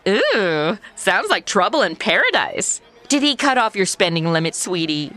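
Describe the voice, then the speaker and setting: A woman's voice: mid-range voice, big pitch swings, moderate pace, a bit bright. An actress playing a sassy, flippant modern woman in a comedy audio drama, sarcastic, chatty and full of complaints. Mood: catty, flippant. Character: sassy, flippant modern woman stuck in 1950s noir Cuba.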